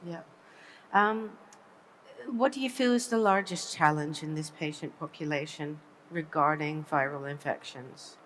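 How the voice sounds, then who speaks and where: A woman's voice: low-pitched, unhurried, a bit bright, slight background noise, rough recording. A woman from the Netherlands interviewing a guest on a conference studio set, affirming often and searching for her phrasing.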